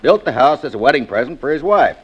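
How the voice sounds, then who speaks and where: Plain-spoken American salesman, lively pitch. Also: fast, slightly rough. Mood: matter-of-fact. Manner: matter-of-fact, informal. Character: plain-spoken, skeptical real estate agent man. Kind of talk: 1940s radio drama acting.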